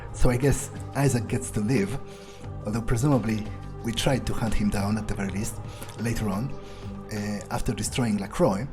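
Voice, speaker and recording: A man who is not a native English speaker, giving a casual, rambling out-of-character recap of his game playthrough with frequent restarts and pauses. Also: fairly low pitch. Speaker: gamer, channel host. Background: guitar music.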